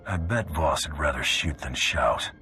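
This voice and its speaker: An actor playing a tough, cocky bounty hunter in a video game, speaking tersely with dry sarcasm. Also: male voice, extremely low pitch, expressive, unhurried, bright, forceful tone, faint music behind, exaggerated voice. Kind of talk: video game cutscene acting.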